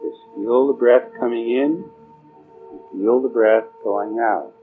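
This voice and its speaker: A man leading a guided meditation, giving slow spoken instructions in a soothing, permissive and informal way, with pauses. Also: mid-range voice. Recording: dull, muffled lo-fi recording with music.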